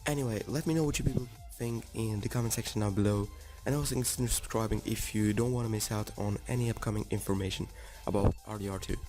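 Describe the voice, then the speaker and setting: A man with a raspy, hoarse voice from being sick, narrating an informal game preview for viewers with enthusiasm. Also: on the low side, steady tone, fast talker, hardly any pauses, music in the background. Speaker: gaming YouTuber.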